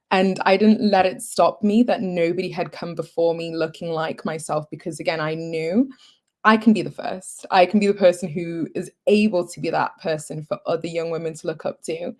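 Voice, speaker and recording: A young woman from Zimbabwe, answering interview questions in an earnest, upbeat and articulate way. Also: lowish voice. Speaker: pageant contestant, guest.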